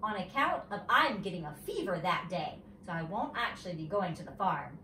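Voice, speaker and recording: A woman voicing an excitable, sassy young schoolgirl in a children's storybook, with blunt, childish phrasing. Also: on the low side, normal speed, roomy sound, distant mic, slight background noise. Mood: huffy, defiant. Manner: childish grammar, blunt and emphatic.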